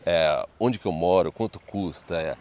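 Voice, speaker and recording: A man's voice: deep voice, moderate pace, a little mellow, slight background noise. A Brazilian man co-hosting a casual advice chat outdoors, rambling and often echoing and agreeing. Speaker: video co-host and study-abroad adviser. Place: outdoors in a wooded residential neighbourhood.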